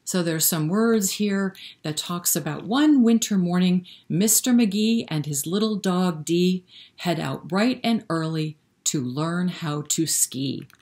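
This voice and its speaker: Woman, slightly low pitch, children's story time host, interactive, encouraging, speaks directly to young listeners. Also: lively intonation, slow, a little mellow. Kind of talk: interactive read-aloud for young children, with singing.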